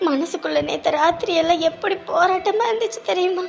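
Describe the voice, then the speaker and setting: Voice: sits high, expressive, takes it slowly, fairly bright tone, faint music behind, exaggerated voice. A performer playing a troubled wife who laments her worries in a family drama. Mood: weary. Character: troubled married woman, a wife.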